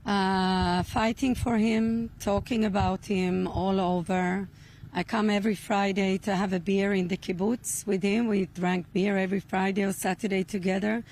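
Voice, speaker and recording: A middle-aged woman from Sweden, giving earnest interview answers outdoors in simple, deliberate English. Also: medium pitch, steady tone, a little mellow, a little hiss or hum, low-quality recording. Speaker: interviewee, hostage's relative. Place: outdoors near a rural kibbutz.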